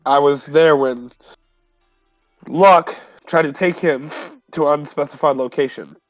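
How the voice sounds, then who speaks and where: A man with a slightly high voice talks casually as a player in a tabletop game. He is close and dry on the microphone, with soft music underneath. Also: measured pace, dry recording, poor audio.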